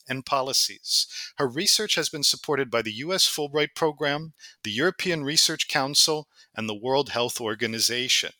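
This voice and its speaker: Bright, crisp male podcast host. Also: medium pitch, no room sound. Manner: polite and measured. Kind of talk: formal interview introduction.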